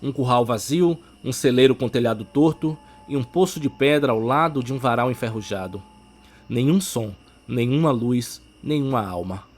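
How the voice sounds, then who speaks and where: A man's voice: medium pitch, normal speed, slight background noise. A man with a Brazilian accent reading a scripted suspense audiobook as narrator, with music behind him.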